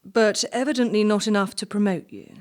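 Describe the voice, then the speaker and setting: An actress playing a clinical, pedantic female job interviewer in a stage play, with a clipped, probing and deliberate manner. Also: mid-range voice, close to an everyday voice. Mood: challenging.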